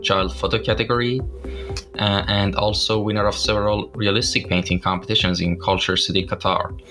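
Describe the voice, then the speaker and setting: A man hosting a podcast interview, friendly and quick with repeated affirmations. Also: on the low side, little pitch movement, over music. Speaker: podcast host.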